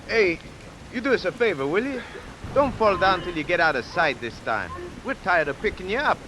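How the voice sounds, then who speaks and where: A man's voice: high-pitched, very animated, normal speed. An actor playing a wry, wisecracking working fisherman with colloquial talk in a 1940s radio drama. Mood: wry, brisk. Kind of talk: 1940s radio character acting. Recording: noisy vintage radio, harbour ambience.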